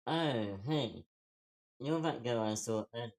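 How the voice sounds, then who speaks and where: A man's voice: mid-range voice, animated, measured pace, a little mellow. A man playing a self-deprecating, anxious young man in a casual screenplay table read. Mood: casual, friendly.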